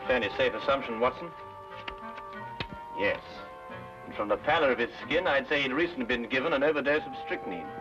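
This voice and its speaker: A man's voice: medium pitch, brisk, long pauses, natural voice. An English actor playing a confident, nonchalant male detective with dry wit in an old-time radio drama. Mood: musing, deductive. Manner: nonchalant, deductive, dryly witty. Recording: dull old radio recording, music behind.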